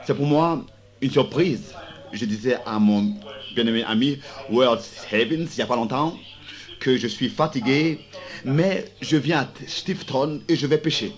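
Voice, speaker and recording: A hoarse man preaching a sermon in a school auditorium, speaking with a slow, halting delivery and frequent restarts. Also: mid-range voice.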